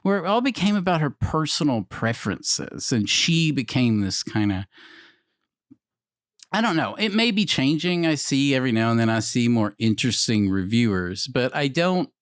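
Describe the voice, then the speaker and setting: A man in his forties co-hosting a casual podcast chat, animated and swearing, with many restarts. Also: mid-range voice, normal speed, no echo, studio quality. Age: 40s. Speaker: podcast co-host.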